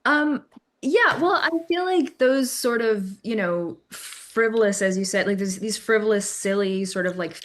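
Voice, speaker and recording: A woman's voice: mid-range voice, measured pace. A woman guest on a podcast, talking casually in an informal, tentative way. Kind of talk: casual panel chat.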